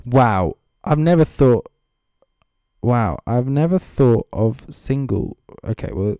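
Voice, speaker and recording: A man's voice: mid-range voice, lively intonation, slow pace, dark tone, dry recording, clean studio sound. A man giving casual, off-the-cuff comedic commentary as a host, with sarcastic, mocking asides. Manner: sweary. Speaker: comedy host reading social media comments.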